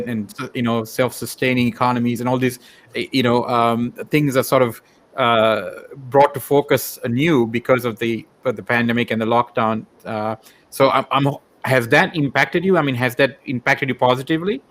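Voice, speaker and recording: A man from Sri Lanka hosts a relaxed interview show in a medium-pitched voice. He talks in a rambling, tentative way, circling before he gets to a question. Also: normal speed. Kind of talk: casual interview chat.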